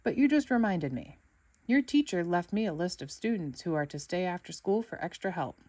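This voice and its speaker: A woman's voice: fairly low pitch, expressive, moderate pace, dark tone. A woman voicing an indifferent male substitute teacher in a storybook, offhand and disinterested.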